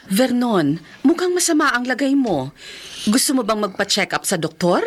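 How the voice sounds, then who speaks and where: A woman's voice: fairly high pitch, sing-song, moderate pace, breathy edge. A woman playing a caring, encouraging benefactor in a radio drama, speaking with motherly concern. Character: caring, encouraging female benefactor, addressed as ma'am. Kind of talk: Filipino podcast radio drama acting.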